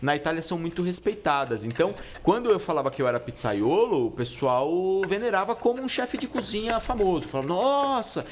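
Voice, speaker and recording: A man's voice: high-pitched, brisk, hardly any pauses. A middle-aged man born in Italy chats casually on a podcast, telling his stories at an easy pace. He often restarts his sentences and drops in slang. A faint hiss sits behind his voice. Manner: relaxed storytelling with slang and many restarts. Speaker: guest pizza chef.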